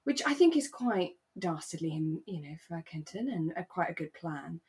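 A woman co-hosting a relaxed podcast chat about a radio drama, telling things in an animated, dramatic way. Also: fairly low pitch, normal speed, husky. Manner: animated, dramatic storytelling with acted-out asides. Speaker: podcast co-host; actor.

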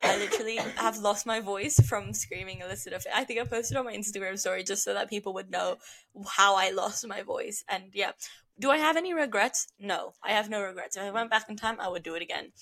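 A woman co-hosting a casual podcast, bubbly and excitable, her voice hoarse and worn out. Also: medium pitch, a little fast. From New Zealand or Australia. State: hoarse, has lost her voice. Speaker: podcast co-host. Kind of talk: casual chat.

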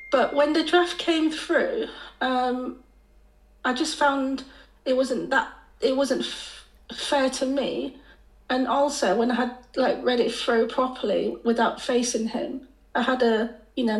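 A woman calling in with a croaky voice after being ill, speaking haltingly with many pauses. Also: sits high. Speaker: caller. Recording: echoey call audio.

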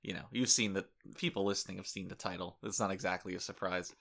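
A young man co-hosting a podcast, making dry deadpan jokes in casual chat and restarting his sentences often. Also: sits low, quick pace, gravelly. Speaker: podcast co-host.

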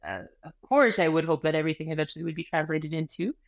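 A woman author speaking as a guest in a casual interview, expressive and energetic as she tells stories. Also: low-pitched, quick pace, muffled recording. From Canada. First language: Spanish.

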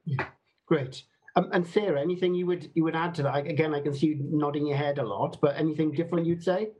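A man's voice: fairly high pitch, fairly even intonation, fast, dull audio, slight background noise. A man hosting a podcast over a video call, introducing guests in a friendly, upbeat way. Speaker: podcast host. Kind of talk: podcast interview.

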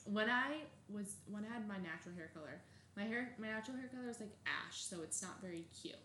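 A woman's voice: medium pitch, fast, bright, forceful tone, slight background noise. Chatty young woman in an echoey room. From Arizona, born in Indiana. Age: 20s. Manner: chatty, laughing, defensive. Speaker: podcast co-host. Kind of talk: casual chat.

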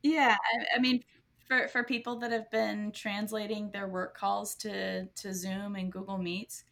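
An American woman, around her thirties, talking conversationally as a co-host, earnest and articulate as she explains in measured phrases. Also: mid-range voice, flat delivery, gravelly, a bit bright. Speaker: podcast co-host and health entrepreneur. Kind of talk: conversational self-introduction. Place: at home, remote call.

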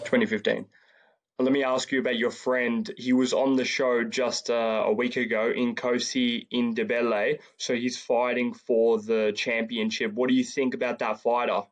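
A male podcast host asking questions in a relaxed, slangy way. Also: medium pitch, normal speed. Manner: relaxed, slangy, says "bro". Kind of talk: casual interview questions.

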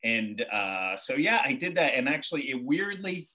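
An American man in his forties, an interview guest, telling personal stories in a casual, animated way and acting out bits of dialogue. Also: mid-range voice, normal speed. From Boston, United States. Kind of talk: casual conversational storytelling.

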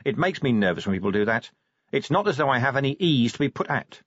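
A man's voice: medium pitch, sing-song, fast, breathy edge, dry recording, lo-fi sound, pitched above the performer's own voice. A man voicing an irritable, world-weary police commander with a curt, sardonic way of talking, in an audiobook story. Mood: irritated, curt.

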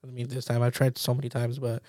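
A man's voice: mid-range voice, monotone, quick pace, dark tone, dry recording, studio quality. A man in his late twenties chatting casually as a podcast co-host, informal, slangy and self-deprecating. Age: late 20s. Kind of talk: casual chat between friends.

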